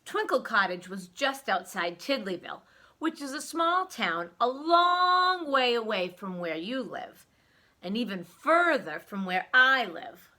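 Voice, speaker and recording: A woman reading a story aloud to young children, expressive, with dramatic emphasis and questions to the listener. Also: fairly high pitch, unhurried.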